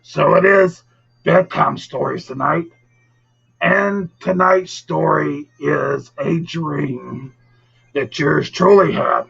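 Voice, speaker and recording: Male live storytelling host: bright, forceful, very husky rasp, casual and teasing with viewers, muffled recording with music underneath. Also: on the high side, slow. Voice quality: gravelly, raspy. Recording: dull lo-fi audio, music behind.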